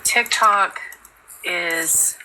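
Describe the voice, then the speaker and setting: A woman in a casual online voice chat, earnest and quick to correct the others. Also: fairly low pitch, bright, forceful tone, a little hiss or hum, rough recording.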